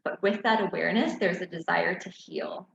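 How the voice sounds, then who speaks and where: Woman guest, thirties, lowish voice, earnest and reflective, echoey room, dull call audio. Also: moderate pace, gravelly, a bit bright. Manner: earnest, reflective, frequent "right?". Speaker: doula and educator, guest. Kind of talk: interview answers. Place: room at home, indoors. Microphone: earbud headset on video call.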